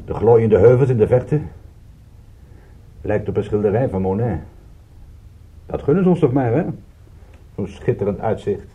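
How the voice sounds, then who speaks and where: A weary private eye in a radio drama. His voice is lowish, mellow and husky. He talks in a wry, laconic way. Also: expressive, moderate pace, pauses often, lo-fi sound. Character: world-weary, wry male private detective. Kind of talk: radio drama acting with first-person narration.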